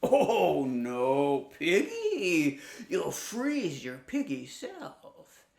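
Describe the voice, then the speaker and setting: A woman voicing a sly big bad wolf who coaxes in a loud, slow drawl. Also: low voice, wildly swooping pitch, echoey room, heavily acted. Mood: coaxing.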